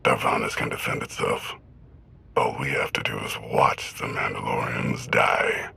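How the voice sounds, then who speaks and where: An actor playing a cold, menacing game emperor who is amused by slaughter, speaking slowly and disdainfully with a gravelly rasp. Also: male voice, a bass voice, steady tone, bright, forceful tone, a little hiss or hum, full character voice. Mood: cold contempt. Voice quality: gravelly, rasping.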